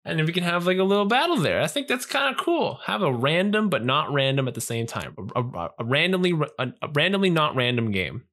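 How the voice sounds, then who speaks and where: Bright-voiced man, animated. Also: on the high side, a little fast, hardly any pauses. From Canada. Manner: animated, joking, self-mocking. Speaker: podcast co-host. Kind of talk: casual chat about game patch notes.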